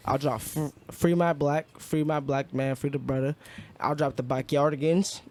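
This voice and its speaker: A young man chats as a guest rapper, firing off slangy, hyped shout-outs. His voice sits a bit high. A faint hiss runs under the recording. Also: fairly even intonation, normal speed. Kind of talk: casual hype chat.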